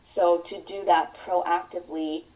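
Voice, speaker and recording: A woman whose native tongue is Mandarin shares her story as a podcast guest. Her voice sits low and mellow and sounds set back from the microphone. She speaks earnestly, drawing out her phrases. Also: steady tone. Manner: earnest, reflective, drawn-out phrasing. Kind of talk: personal storytelling in an interview. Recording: voice sounds distant from the mic.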